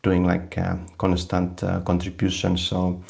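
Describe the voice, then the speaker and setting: A man with a Finnish accent hosts a community call in a loose, casual way, leaning on "like". His voice is very deep and gravelly, and it stays flat. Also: measured pace. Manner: loose, filler-heavy chat with lots of "like". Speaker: AMA host. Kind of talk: casual moderating.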